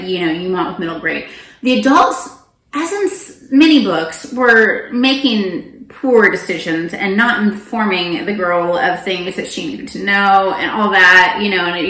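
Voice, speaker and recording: A woman's voice: medium pitch, fairly bright tone, echoey room. A middle-aged woman chatting casually and animatedly to viewers as a book vlogger, often interrupting herself with informal asides. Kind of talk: casual, chatty monologue to camera.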